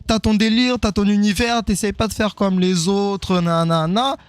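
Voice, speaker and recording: Young male rapper guest, slightly hoarse, easygoing chat, dry studio sound, voice sits a little high. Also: fairly even intonation, normal speed, no echo. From Congo (Kinshasa), grew up in France. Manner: easygoing, repeats "c'est ça", "et cetera". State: hoarse after performing. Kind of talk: casual radio interview.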